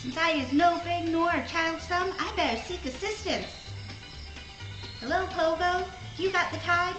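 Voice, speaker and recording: High little voice, roomy sound, earnest folksy bug a woman acting, radio play, music behind. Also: a little slow. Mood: earnest urgency. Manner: folksy swamp dialect. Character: tiny earnest, officious bug. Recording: roomy live stage, quieter than others.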